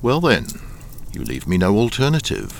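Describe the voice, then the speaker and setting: An actor playing a suave smuggling boss in a radio crime drama, smooth, patronizing and politely threatening. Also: male voice, on the low side, wildly swooping pitch, moderate pace, slight background noise, full character voice. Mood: menacing. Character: suave menacing smuggling boss, coolly blackmailing a deserter.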